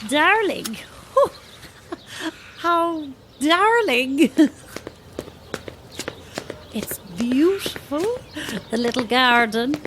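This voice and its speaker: A woman's voice: high-pitched, lively intonation, extremely slow, long pauses, a bit bright, a little hiss or hum, exaggerated voice. An actress playing a gushing, sugary make-up saleswoman in an audio horror drama, drawing out her words. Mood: sugary, charmed. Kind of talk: radio drama acting.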